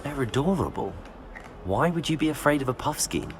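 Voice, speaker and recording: An actor playing a composed, courteous young wizard student and adventurer in a video game, measured and formal. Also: male voice, mid-range voice, sing-song, vocal fry, background noise. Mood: curious. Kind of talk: scripted video game dialogue.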